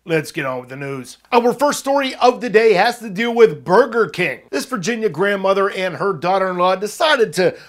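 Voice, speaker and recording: Male online news host, high register, upbeat and conversational, punchy emphasis, wry asides. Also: animated, normal speed, few pauses. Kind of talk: casual, upbeat pop culture news commentary to viewers.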